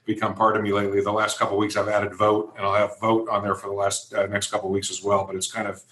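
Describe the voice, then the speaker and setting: Low, even-toned American man in echoey room. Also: fast talker. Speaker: interview guest, events company executive. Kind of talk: conversational interview answers.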